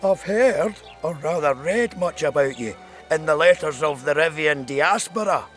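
A man's voice: on the high side, expressive, measured pace, fairly bright tone, soft background music. An actor playing a courteous non-human male trader of old curios in a video game. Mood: courteous, welcoming.

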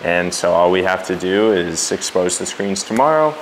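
A young man with a lowish voice walks through his screen printing prep in a casual vlog. He talks easily and explains each step as he goes, clipped with a lapel mic in a large workshop. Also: animated, moderate pace, slight background noise, low-quality recording. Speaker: screen printing shop owner. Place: large workshop with wooden floors.

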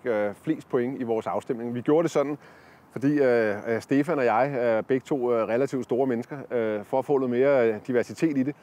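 A man's voice: mid-range voice, a little mellow. A man in his forties giving a casual product review on a campsite lawn, talking with many fillers and restarts into a lapel mic. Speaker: product tester.

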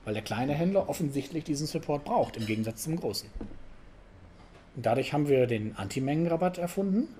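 A middle-aged man giving a casual, conversational talk to an audience at an event, often ending points with a quick tag question. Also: a little high, slight background noise. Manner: conversational, frequent tag questions, animated emphasis. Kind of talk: informal conference talk.

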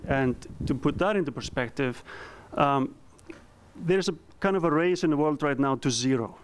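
A man in his thirties from Iceland with an Icelandic accent, giving a conference presentation with a handheld mic, earnest and halting with frequent ums. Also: a little high, smooth. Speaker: company founder giving a presentation. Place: conference room.

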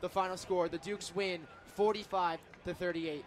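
An American man calling football live on the radio as play-by-play announcer, with excitable, emphatic delivery. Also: high-pitched, normal speed. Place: football stadium broadcast booth.

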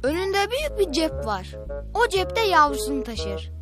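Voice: sits high, sing-song, normal speed, music in the background. A performer voicing a kind schoolboy older brother in a children's cartoon, patiently explaining and encouraging.

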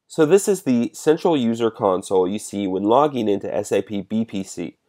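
A man giving a measured, explanatory step-by-step narration as a software demonstrator. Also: medium pitch.

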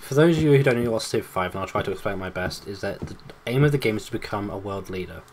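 A man's voice: on the low side, expressive, quick pace, crowd noise. A man co-hosting a let's play, joking, swearing and mocking himself. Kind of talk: casual gameplay commentary.

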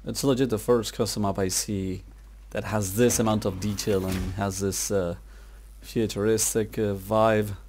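A man's voice: on the low side, little pitch movement, unhurried, a little mellow. A man giving casual gameplay commentary in an awestruck, slangy way, peppered with dude and man. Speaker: gamer commentating his playthrough.

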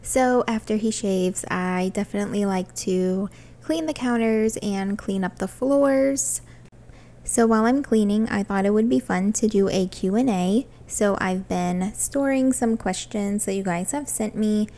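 A woman's voice: mid-range voice, unhurried, dark tone. A woman in her thirties from Florida, chatting cheerfully through a cleaning vlog and answering viewer questions, filmed on a phone in her home bathroom. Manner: cheerful, chatty, laughs often. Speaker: vlogger. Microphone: phone camera across the room.

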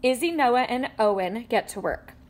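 Lively woman reading a picture book aloud. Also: on the high side, normal speed. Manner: animated storytelling with sound effects. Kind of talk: expressive read-aloud. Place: indoors at a table with a book.

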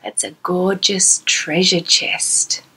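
Bright, animated woman storyteller for children. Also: lowish voice, slow pace, creaky, slightly rough, rough recording. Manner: exclamatory, sing-song, asking the child questions. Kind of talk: animated read-aloud storytelling for young children. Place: small decorated room indoors.

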